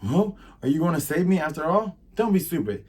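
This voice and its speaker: A man's voice: on the high side, sing-song, fairly quick, a little mellow, husky, pitched above the performer's own voice. A man voicing a spirited, defiant young woman thief who exclaims dramatically and indignantly in an anime retelling.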